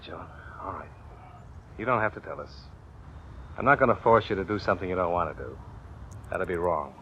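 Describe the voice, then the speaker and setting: A low-pitched, earnest police lieutenant talks in a measured, official way with a forceful edge. It sounds like an old radio drama, muffled and dated. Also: male voice. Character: earnest, firm, fatherly police lieutenant questioning suspects. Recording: muffled old radio recording, faint noise.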